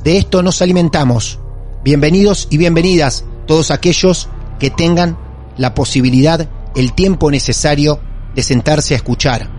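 A man's voice: fairly high pitch, moderate pace, a little mellow, soft background music. A man with an Argentine accent hosting a paranormal podcast, talking in a theatrical, welcoming way and reassuring his caller. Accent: Argentine Spanish. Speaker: podcast host.

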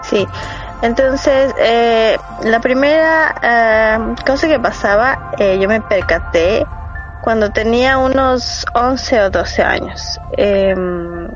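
A woman's voice: on the high side, takes it slowly, soft background music. Hesitant Ecuadorian woman on a dull line. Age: 30s. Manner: hesitant, with many fillers. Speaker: guest telling a personal story. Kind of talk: casual remote interview.

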